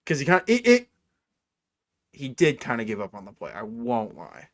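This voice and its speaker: A man's voice: medium pitch, wildly swooping pitch, normal speed, fairly bright tone, dry recording, clean studio sound. A man in his twenties co-hosting a casual sports podcast, laid-back and saying "dude" a lot. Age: 20s. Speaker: co-host.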